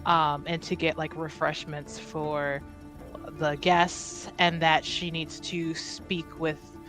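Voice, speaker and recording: A woman's voice: low-pitched, flat delivery, slow, music in the background. A young woman player thinking aloud as she describes her character's actions in a tabletop game, hedging often.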